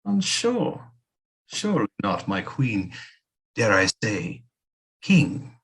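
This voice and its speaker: Man acting as court seer and physician, low pitch, grandiloquent, flattering, theatrical, audio play. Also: slow pace, exaggerated voice.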